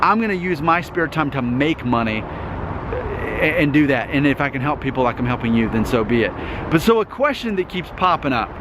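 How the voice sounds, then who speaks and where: A man's voice: mid-range voice, expressive, moderate pace. A man in his thirties talking to camera in an earnest vlog monologue. He repeats himself and keeps checking in with "okay?". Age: 30s. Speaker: YouTube host. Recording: noisy outdoor recording with traffic.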